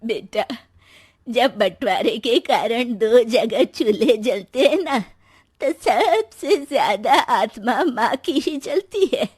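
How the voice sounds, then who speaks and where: A woman voicing a sorrowful, wry old mother and grandmother in a moral story, plaintive and gently sarcastic. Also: fairly high pitch, animated, slow, slightly rough, dry recording, studio quality, full character voice. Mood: sorrowful, pleading.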